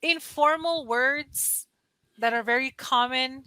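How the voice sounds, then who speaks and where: A woman's voice: high-pitched, takes it slowly, sharp tone, smooth, no echo. A woman with a Californian accent, chatting casually on a video call as an online English tutor and explaining patiently. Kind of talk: casual conversation lesson. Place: lives in Costa Rica.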